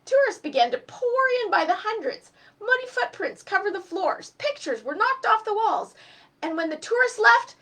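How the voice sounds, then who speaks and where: A woman hosting a children's storytime, expressive and speaking directly to young listeners as she reads and explains step by step. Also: very high-pitched, moderate pace. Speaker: storytime host and reader for children. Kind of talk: animated storybook reading and craft instruction for children.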